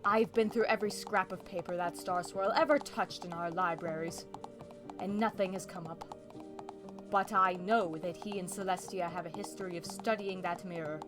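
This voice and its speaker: A woman's voice: fairly low pitch, steady tone, normal speed, lightly acted. A woman playing a worried, regal pony princess of the night in a cartoon comic dub, speaking in formal, archaic royal diction. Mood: grave, troubled. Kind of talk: fan comic dub acting. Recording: music behind the voice.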